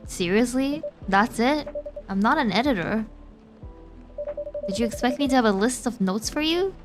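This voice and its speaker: A teasing young woman writer from a cozy café game, as a streamer reads her lines aloud. The voice sits a little high and stays playful. Quiet game music runs underneath. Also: lively intonation, normal speed, close to an everyday voice. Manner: teasing, reading dialogue aloud.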